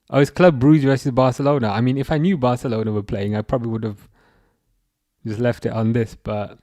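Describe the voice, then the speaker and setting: Young english guy rambling through a football stream, mid pitch, dry close sound. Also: darkish tone, dry recording. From England. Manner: rambling, repeats words and restarts, reads chat aloud. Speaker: livestream host. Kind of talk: casual football livestream chat.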